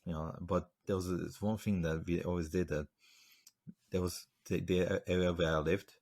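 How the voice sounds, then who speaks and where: A man's voice: low-pitched, fairly even intonation, moderate pace, darkish tone. A man from Slovakia, hosting a podcast and telling a story in loose, rambling casual talk full of fillers. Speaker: podcast host. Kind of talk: casual interview chat.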